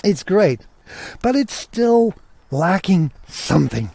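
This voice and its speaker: A man with a slightly high, mellow voice hosts a podcast in a casual, chatty way. He talks as if thinking aloud, asking himself questions. The sound is dry and close. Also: big pitch swings, slow pace, gravelly, no echo. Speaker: podcast host. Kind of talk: casual, conversational tech podcast monologue.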